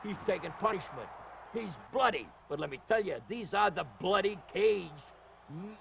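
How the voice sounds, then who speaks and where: A man calling wrestling as an excited commentator, with hyperbolic, exclamatory showman patter. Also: high voice, normal speed, gravelly, slight background noise.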